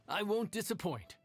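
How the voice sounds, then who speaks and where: A man playing a confident, easygoing young cook in an anime-style video game, with a casual, self-assured delivery and a husky voice. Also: sits high, fairly bright tone, exaggerated voice.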